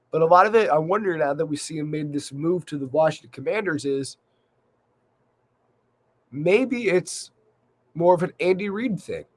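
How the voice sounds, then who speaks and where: Guy doing solo sports commentary, kinda high voice, bit of a cold, conversational but punches the key points. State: slight cold, losing his voice a little. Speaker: sports podcast host.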